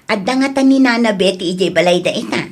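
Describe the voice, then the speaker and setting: A woman with an Ilocano accent acting a frank, scolding woman in a family audio drama, in a husky voice. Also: medium pitch, animated, quick pace. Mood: pleading, practical.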